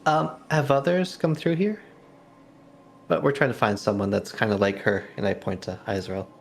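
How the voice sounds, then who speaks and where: A man's voice: mid-range voice, little pitch movement, moderate pace, vocal fry, slight background noise. A man improvising as a player in a tabletop roleplaying game, casual and tentative. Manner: hesitant, tentative. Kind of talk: casual improvised in-character chat.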